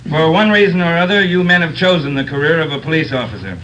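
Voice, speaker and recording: Actor doing a seasoned cop giving recruits the hard truth, blunt, kinda high and sharp, faint hiss. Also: male voice, fast talker, natural voice, rough recording. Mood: earnest. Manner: blunt, dry speechmaking. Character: veteran male police captain lecturing recruits. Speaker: police lecturer. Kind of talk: 1950s radio crime drama acting.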